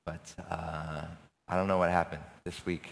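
A man from America, a church elder, preaching a sermon with a raspy, hoarse voice and earnest, searching pauses. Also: sits low, flat delivery. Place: church service. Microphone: handheld microphone.

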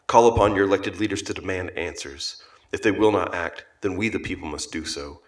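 Echoing, deep, grave agitator. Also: male voice, fairly quick. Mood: ominous indignation. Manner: solemn, oratorical. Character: grave male online agitator, accusatory and rabble-rousing. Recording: echoing, reverberant, distant sound.

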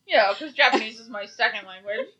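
A woman co-hosting a podcast whose voice has a bright, sharp edge. She talks in a relaxed, casual way and keeps throwing in dry, teasing one-liners. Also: fairly high pitch, a little fast, highs cut off. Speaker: podcast co-host. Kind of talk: casual chat.

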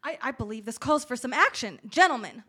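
A woman's voice: on the high side, animated, a little fast, bright, forceful tone, husky, full character voice. A woman playing a suspicious, officious army general in a comedy radio play, clipped and interrogating.

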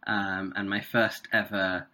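A man in his thirties from South India and Singapore with an English accent, chatting casually as a guest neurosurgeon with frequent pauses. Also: fairly low pitch, level tone, pushed and bright. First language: Tamil. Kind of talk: casual interview chat.

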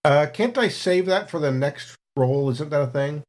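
A man talks as a player in a tabletop game, describing what his character does. His voice sits a little high and swings widely in pitch. He delivers it all with wry, dry understatement. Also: animated, normal speed. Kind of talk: narrating his character's actions in third person.

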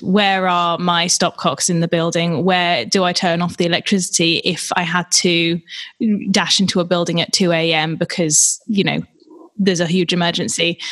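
A woman's voice: on the low side, fairly bright tone. Woman talking practical steps, thinking aloud. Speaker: panelist. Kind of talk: casual panel discussion.

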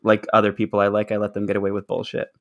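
A man's voice: lowish voice, monotone, racing. A man co-hosting a podcast in casual recap chat, rambling and joking with blunt swearing. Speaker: podcast co-host.

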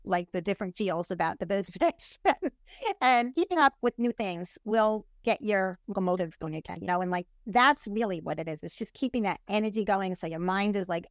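Lively middle-aged woman agent, dry sound. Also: mid-range voice, no echo. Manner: energetic, laughs often, says "you know" and "right". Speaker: real estate agent, guest. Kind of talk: casual interview chat.

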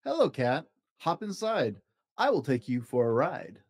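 A man's voice: mid-range voice, huge pitch swings, a little slow, husky, full character voice. A man voicing a cheerful, careful storybook toad who loves driving, in a rhythmic sing-song. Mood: cheerful, eager. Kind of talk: children's storybook read-aloud.

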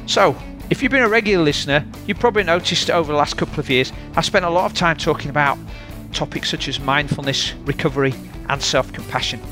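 A man's voice: fairly high pitch, quick pace, over music. A man with a Yorkshire accent hosting a fitness podcast, chatty and friendly, interviewing a guest. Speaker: podcast host.

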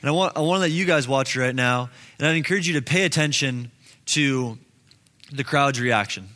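A man's voice: medium pitch, fairly quick, sharp tone. A man giving a casual sermon in an informal, conversational and earnest manner. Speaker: preacher.

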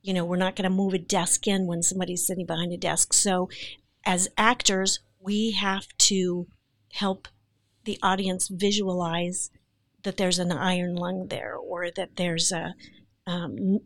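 Actress on a podcast, lowish voice, dry sound, earnest and emphatic when she gets going. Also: flat delivery, a little slow, dry recording. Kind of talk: podcast interview.

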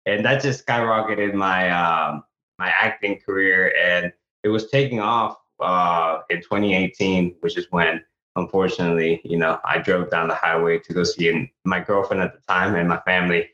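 A man's voice: low-pitched, steady tone, moderate pace, sharp tone. A man from El Salvador, a guest actor and author, telling his story earnestly in an interview, with frequent restarts. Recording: echoey, slightly dull remote audio.

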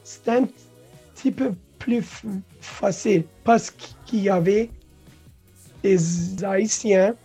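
Slow, halting woman. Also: mid-range voice, warm tone, breathy edge, faint music behind. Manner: halting, restarts words, mixes English into French, laughs often. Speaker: guest. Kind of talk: casual interview talk.

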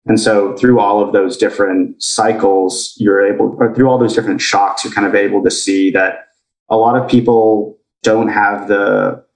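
Low gravelly man with an American accent. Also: moderate pace, roomy sound, recorded from a distance, low-quality recording. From grew up in Indonesia. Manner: relaxed, uses "like" and "kind of" a lot. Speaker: guest. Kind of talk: casual interview answers.

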